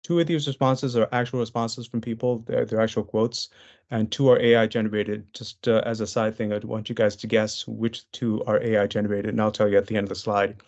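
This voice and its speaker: A man's voice: lowish voice, little pitch movement, fairly quick, darkish tone, dull audio. A man presenting a business webinar over a video call. He talks conversationally through his slides, with frequent fillers and restarts.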